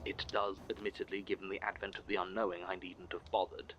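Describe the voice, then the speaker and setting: A man playing a gloating, scheming servant of an eldritch power in an audio horror drama, measured, formal and smug in a breathy, creaky half-whisper. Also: low-pitched, flat delivery, noisy background, lightly acted. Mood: gloating menace. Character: gloating, scheming male villain serving an eldritch fear power.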